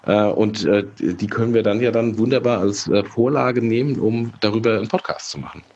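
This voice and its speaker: A man's voice: fairly low pitch, a little fast, a little mellow, muffled recording. A man co-hosting a podcast in casual chat, stuffed-up with a cold, hesitant and self-deprecating. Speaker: podcast co-host.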